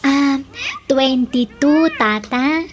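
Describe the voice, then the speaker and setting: A woman's voice: high voice, extremely slow. A woman playing a coy, hesitant young female boarder of twenty-two in a comedy radio drama. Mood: polite, shy. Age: young adult. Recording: slight noise and clicks.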